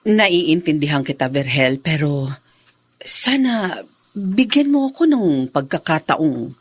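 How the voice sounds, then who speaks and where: Radio drama stepmother: husky low register, polite, hesitant, patient, conciliatory. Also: very animated, takes it slowly, full character voice. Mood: patient, placating. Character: gentle, patient new stepmother seeking trust.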